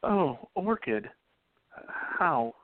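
A kind, reassuring young twenties man, as a man plays him, speaking gently in a middle voice. The sound is dry and close, yet thin and tinny like a phone line. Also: a little high, big pitch swings, drawn-out words, dry recording, heavily acted. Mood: gentle. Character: kind, respectful young 1920s man, sweetheart of the heroine. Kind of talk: amateur radio play acting.

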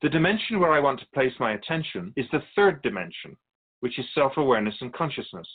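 A man playing a calm otherworldly being in a radio drama interview, explaining humanity to humans in a measured, knowing, didactic way. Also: medium pitch, slightly rough, phone-quality sound. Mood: calm, explanatory.

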